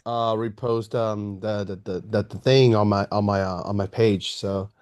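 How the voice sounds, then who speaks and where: A man's voice: fairly low pitch, fairly even intonation, moderate pace, darkish tone, clean tone. A man attending a webinar, speaking hesitantly with trailing phrases over a video call.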